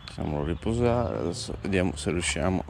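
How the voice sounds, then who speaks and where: Male fishing vlogger, unhurried lower voice, excited asides to viewers, light outdoor noise. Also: vocal fry. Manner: excited exclamations, talks to viewers. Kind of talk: casual commentary while fishing. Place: outdoors by a small pond.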